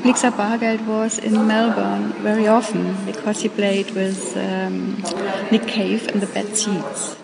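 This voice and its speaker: A woman's voice: mid-range voice, little pitch movement, unhurried, gravelly, over a noisy crowd, low-quality recording. A woman with a German accent, a native German speaker, giving informal interview answers as a curator and searching for her English words. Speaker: exhibition curator being interviewed. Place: indoors in a gallery exhibition. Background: exhibition film soundtrack music.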